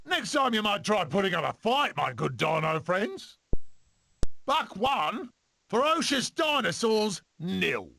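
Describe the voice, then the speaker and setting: Cocky weasel adventurer for a cartoon game, high bright voice that swoops all over, theatrical and boastful. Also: male voice, big pitch swings, slow pace, gravelly, slight background noise, exaggerated voice. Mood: triumphant, boastful. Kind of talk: animated film video game voice clips.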